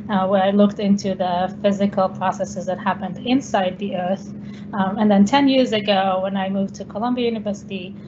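Israeli-born woman lecturing over a video call. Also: medium pitch. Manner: explanatory, many ums, slightly non-native phrasing. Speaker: guest scientist. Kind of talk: online presentation lecture. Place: home room. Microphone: laptop webcam video call. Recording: dull lo-fi video call with background noise.

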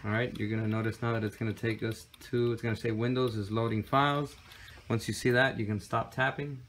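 A man's voice: medium pitch, brisk, a little hiss or hum. A man hosting a casual tech tutorial, explaining steps one by one with frequent fillers, recorded on a handheld phone camera in a room. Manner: relaxed, step-by-step with frequent fillers. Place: indoor room with desk and computer.

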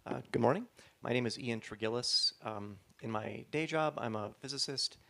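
Man, gravelly voice, modest, self-deprecating, panel guest, handheld mic. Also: mid-range voice, moderate pace, fairly bright tone. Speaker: panel guest, physicist and novelist. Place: large hall stage before an audience.

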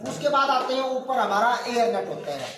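A man's voice: very high-pitched, very animated, normal speed. Male workshop instructor, 40s, step-by-step explanations, filmed from a distance, crowded echoey workshop. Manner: explains step by step, keeps asking if students understood. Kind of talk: hands-on technical lesson to students. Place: crowded indoor workshop, students standing around. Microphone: far phone camera. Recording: echoey room, voice from afar, crowd noise.

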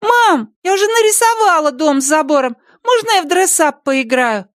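A woman's voice: very high voice, expressive, normal speed, dry recording, studio quality, pitched above the performer's own voice. A woman voicing a small eager girl who pleads with her mother in a whiny, wheedling way. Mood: pleading.

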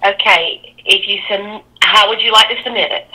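A woman's voice: mid-range voice, moderate pace, pushed and bright, low-quality recording. A woman answering an office phone, firm and procedural as she explains things. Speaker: district attorney's office receptionist. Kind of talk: phone conversation.

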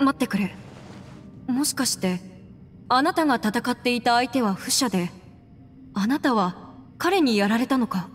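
A woman acting in a Japanese game as a composed, proud young noblewoman adventurer, speaking in a measured, firm and formal way. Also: a little high, expressive, lightly acted. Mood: thoughtful, serious. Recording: long reverb, faint music behind.

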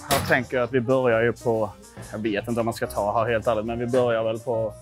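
Male gym vlogger, twenties, from Sweden, average pitch, informal self-deprecating chat with swearing, clear music bed. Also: flat delivery. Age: 20s. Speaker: vlogger testing his lifts at a gym. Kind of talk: casual vlog chat to viewers. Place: indoor gym.

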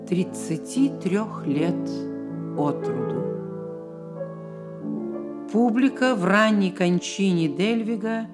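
A woman narrating a literary Soviet radio play in a measured, expressive, reflective way. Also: lowish voice. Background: music.

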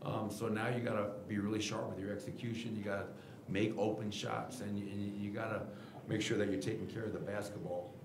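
A middle-aged man, a basketball head coach, answering reporters' questions at a post-game press conference podium with a table mic, candid and animated. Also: lowish voice, a little fast, roomy sound, noisy background. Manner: candid, animated, gestures while explaining. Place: press room at team podium. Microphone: table mic in front of him.

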